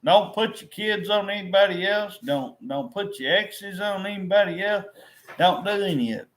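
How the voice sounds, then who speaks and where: Man in middle age, fairly high voice, rambling frustrated vent to camera with lots of restarts. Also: moderate pace, low-quality recording. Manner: frustrated, repetitive, with many restarts. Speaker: vlogger.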